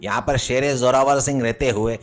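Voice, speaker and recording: A man's voice: mid-range voice, fairly even intonation, normal speed, soft background music, lightly acted. A man voicing a boastful, bullying street goon with a blustering manner in a comic moral story. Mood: threatening. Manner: swaggering, threatening, blustering.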